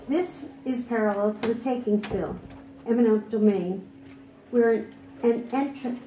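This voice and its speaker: Firm woman reading testimony, warm tone. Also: medium pitch. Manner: reads firmly from notes, pointed questions. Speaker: former school district director testifying. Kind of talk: prepared public testimony. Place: hearing room. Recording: echoey room with faint background noise.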